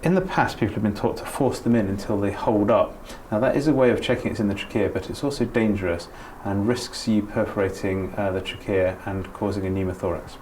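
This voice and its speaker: A middle-aged man with a slightly low voice talks through a practical demonstration as an instructor. His delivery is informal and explanatory. There is light ambient noise behind him. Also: fairly quick, hardly any pauses, darkish tone. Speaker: doctor giving a training demonstration.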